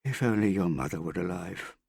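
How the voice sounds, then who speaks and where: A man's voice: low voice, fairly quick, gravelly, darkish tone, husky, dry recording, studio quality, exaggerated voice. A man voicing a vain, theatrical middle-aged father in an audiobook, wheedling and self-pitying with a pompous, affected way of talking. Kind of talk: audiobook character dialogue.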